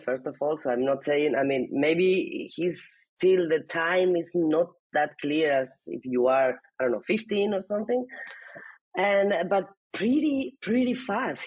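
Lady in her 30s, low husky voice, rambly interview chat. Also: darkish tone, no echo, low-quality recording. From Spain. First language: Spanish. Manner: rambling, many restarts and "I mean". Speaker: interviewee, mother. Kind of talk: casual conversational interview.

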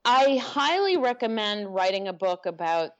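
A middle-aged woman speaking as an author in a podcast interview, thoughtful and measured, often restarting her sentences. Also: mid-range voice, sing-song. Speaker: author and guest. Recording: dull lo-fi podcast audio.

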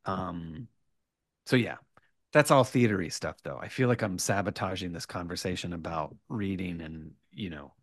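Deep-voiced man, emphatic and repetitive. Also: moderate pace, dry recording, clean studio sound. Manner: repeats words, builds points in short emphatic lists. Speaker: guest, writer and performer. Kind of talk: animated interview conversation.

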